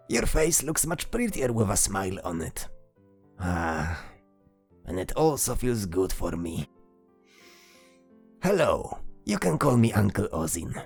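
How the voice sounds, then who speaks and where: Guy doing a gentle old monster uncle, slow n soothing, voice swings up and down, fantasy audio drama. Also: fairly low pitch, big pitch swings, vocal fry, slightly rough, heavily acted. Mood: warm, playful. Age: older. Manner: soothing, reassuring, speaks slowly to a child. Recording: music behind the voice.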